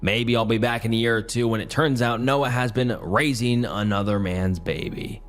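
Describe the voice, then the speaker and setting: A man reading Reddit posts aloud as a YouTube story narrator, his voice strained and partly going hoarse, in a casual, chatty delivery. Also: medium pitch, moderate pace, faint music behind.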